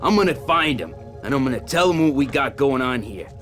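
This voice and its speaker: An actor playing a determined, idealistic resistance fighter, talking casually and reflectively in a video game. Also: male voice, mid-range voice, expressive, brisk, a bit bright, soft background music, lightly acted. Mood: hopeful, resolved. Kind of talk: video game cutscene dialogue.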